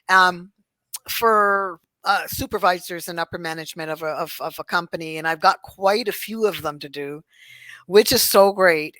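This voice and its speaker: A fairly low-pitched, middle-aged woman with a bright, forceful tone, talking casually as an interview guest. She laughs often and restarts her sentences as she goes. The recording is dry and close, with no room sound. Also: dry recording. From Barbados, raised in Toronto. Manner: chatty, laughs often, many restarts and fillers. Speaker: guest, cultural sensitivity trainer and singer.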